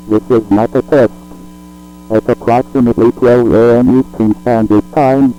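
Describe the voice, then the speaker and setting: Robotic tts guy reading an emergency broadcast, formal and flat, muffled lo-fi sound w music under it. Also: mid-range voice. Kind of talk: scripted emergency alert announcement. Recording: dull lo-fi audio with music.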